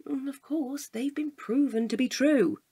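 An actress playing a pedantic, logical, wearily patient woman with a precise, deadpan manner in a comedic audio drama. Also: sits high, measured pace. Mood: dry, dismissive.

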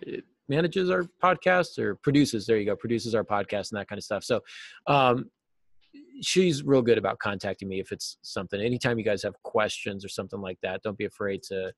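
A middle-aged man giving conversational advice as an interview guest, often pausing mid-thought as he phrases things. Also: fairly low pitch, dry recording. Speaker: guest, novelist and podcast host. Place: small cluttered office, indoors.

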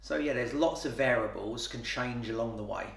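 A man's voice: mid-range voice, moderate pace, creaky. Matter-of-fact man in a roomy office. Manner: plain-spoken, matter-of-fact, with repeated fillers and restarts. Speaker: renovation company boss. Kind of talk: interview answers. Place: office, indoors. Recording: roomy office sound from far off.

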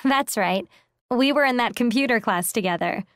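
A woman's voice: fairly high pitch, lively intonation, normal speed, no echo, studio quality, close to an everyday voice. A woman playing a polite, friendly woman in a scripted textbook dialogue.

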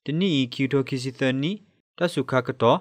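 A man's voice: normal speed, no echo, studio quality. A man reading scripted radio news. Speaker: news reader.

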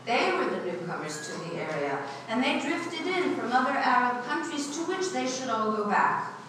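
A woman in her sixties from Israel, giving an earnest, measured talk from a podium in a university lecture hall. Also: on the high side, very animated, background noise. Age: 60s. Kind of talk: prepared public talk. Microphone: podium, filmed from a distance. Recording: echoey lecture hall from far off.

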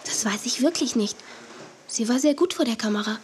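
A woman's voice: on the high side, expressive, a little fast, darkish tone, a little hiss or hum, natural voice. A woman playing a polite, sensible young elder sister, modest and diplomatic, in a children's audio drama. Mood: thoughtful. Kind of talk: 1980s German children's radio play acting.

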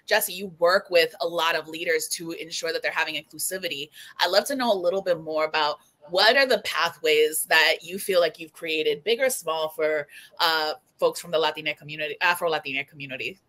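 A woman's voice: low-pitched, brisk, a bit bright. A woman moderating a panel over a video call, friendly and casual.

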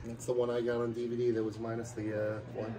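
A man's voice: medium pitch, completely flat delivery, quick pace, mellow, poor audio. A man casually bantering in short, teasing interjections from a little away from the camera, inside a shop. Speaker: vlogger's shopping companion. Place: indoor shop, off the mic.

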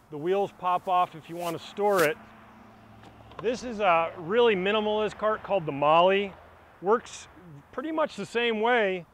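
A middle-aged man with a fairly high voice walks through a hands-on product demo outdoors. He explains each step plainly and practically as he lifts and straps the gear, recorded in an open grassy yard. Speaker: product demonstrator for a kayak shop.

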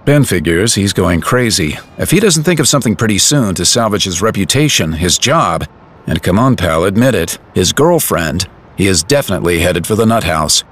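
Man, audio drama narrator, low pitch, very lively intonation, scripted. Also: moderate pace, a little hiss or hum.

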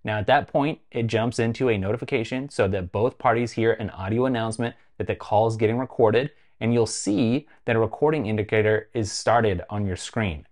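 A North American man presents a tech explainer in a fairly low voice. His delivery is casual and conversational, with emphasis on key phrases, as a host talking to camera would sound. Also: brisk, few pauses, a little mellow. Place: small home studio room, indoors.

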